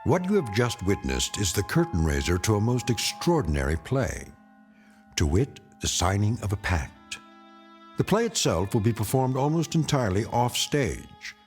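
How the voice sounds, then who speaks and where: A grave male narrator introduces eerie radio tales in a low voice. His delivery is measured and portentous, swinging expressively in pitch, with music clearly underneath. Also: big pitch swings. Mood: grave, ominous. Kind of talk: dramatic scripted radio drama narration.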